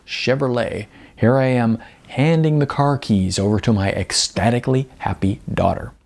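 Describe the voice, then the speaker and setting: A man's voice: mid-range voice, moderate pace, vocal fry, a little mellow, natural voice. A man voicing a good-natured, self-deprecating model in his forties, hesitant and full of ums, in an audiobook. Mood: chatty, self-deprecating. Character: modest, good-natured balding 40-something male commercial model. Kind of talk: audiobook character dialogue.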